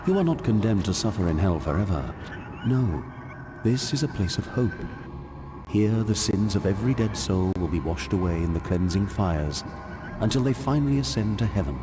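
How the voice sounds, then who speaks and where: A solemn lord of angels from a video game. His voice is very low and he declaims with stately gravity. There is clear noise behind him. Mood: solemn, majestic. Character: solemn, noble warrior archangel, lord of purgatory.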